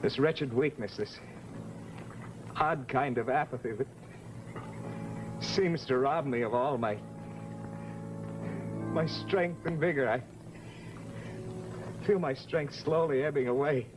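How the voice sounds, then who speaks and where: A man's voice: medium pitch, slow pace, long pauses, over music, lightly acted. An actor playing a male stage actor in an old-time radio drama, with polished dramatic delivery. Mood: weak, despairing. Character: suave, condescending male stage actor, later ailing. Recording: noisy old radio recording.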